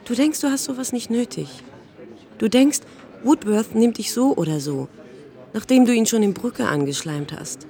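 An actress playing an ambitious, cynical young intern in a radio drama, blunt, impatient and matter-of-fact. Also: female voice, fairly high pitch, animated, normal speed, dark tone. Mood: cutting, defensive.

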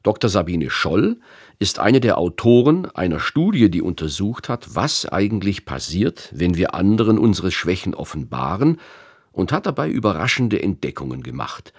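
A man's voice: deep voice, big pitch swings, normal speed, slightly rough. A male radio host reading a reflective, self-critical introduction in a studio. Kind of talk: scripted radio presentation.

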